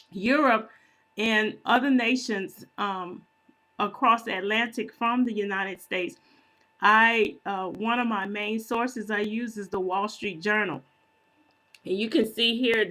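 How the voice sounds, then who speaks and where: A woman talks slowly and casually to her viewers while she walks them through her screen. She often stops to clear her throat, pauses on fillers and corrects herself as she goes. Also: on the high side, fairly bright tone, rough recording. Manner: unhurried, self-correcting, conversational. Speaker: prophetic minister and video channel host.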